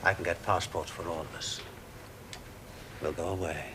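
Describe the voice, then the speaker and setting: A man's voice: low-pitched, steady tone, moderate pace, background noise, lightly acted. An actor playing a worthless, desperate man pleading his love in a radio drama. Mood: passionate. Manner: pleading, swelling to passionate outbursts. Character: desperate, self-pitying middle-aged seducer.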